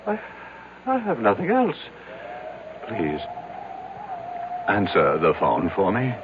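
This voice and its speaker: A man's voice: fairly low pitch, wildly swooping pitch, slow, background noise, exaggerated voice. Panicked businessman, wildly swooping voice. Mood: weak, despairing. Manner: stammering, repeating, pleading. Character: panicking ruined businessman, greedy, paranoid, fading in strength. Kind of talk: 1980 radio drama acting. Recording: dull old radio broadcast with music.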